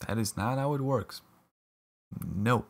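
Streamer: man from Latvia, husky voice, playful and jokey with his chat. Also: medium pitch, slow. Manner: jokey, laughs often, mock-protesting at chat teasing. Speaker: livestream caster. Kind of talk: casual live-stream chat with viewers.

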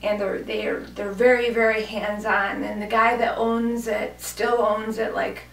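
A woman in her thirties, hoarse from a cold, chatting casually and gushing about clothes on camera in a small room, with lots of fillers. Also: mid-range voice, flat delivery, a little slow. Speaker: fashion vlogger. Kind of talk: casual clothing haul chat. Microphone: camera mic from a few feet away. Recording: echoey room, mic at a distance.

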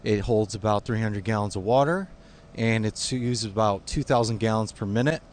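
A man's voice: lowish voice, moderate pace, a little hiss or hum. A man in his thirties, a firefighter, giving terse factual answers in a live outdoor interview. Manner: matter-of-fact, terse. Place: outdoors on a fire truck ladder. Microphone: reporter's handheld microphone.

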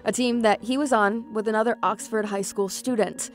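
A young adult woman reporting a local TV news story, with a measured, earnest delivery. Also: mid-range voice, steady tone, faint music behind. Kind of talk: news report narration and interview.

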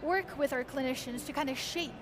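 High-voiced young Icelandic woman. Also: brisk, a crowd in the background. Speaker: guest, research engineer. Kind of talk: conversational interview. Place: large convention hall booth, indoors.

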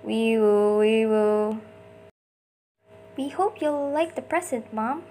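A woman's voice: fairly high pitch, animated, slow pace, mellow, a little hiss or hum. A woman doing an amateur comic dub, acting out short character lines theatrically in switching voices.

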